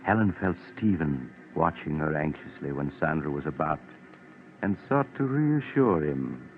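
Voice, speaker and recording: Low-voiced man narrating vintage radio drama. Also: lively intonation, unhurried, narrow, tinny audio, soft background music. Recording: thin, noisy 1940s radio recording.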